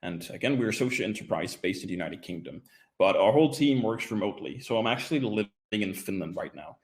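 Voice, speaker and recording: Young man from Denmark, guest speaker, friendly and hesitant, a bit low. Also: lively intonation, fast talker. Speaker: guest speaker, social enterprise executive. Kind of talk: webinar presentation.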